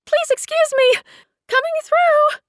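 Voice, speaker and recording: A woman's voice: extremely high pitch, steady tone, slow pace, a bit bright, dry recording, studio quality, pitched above the performer's own voice, full character voice. A woman putting on a whimpering, pleading young woman. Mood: frantic.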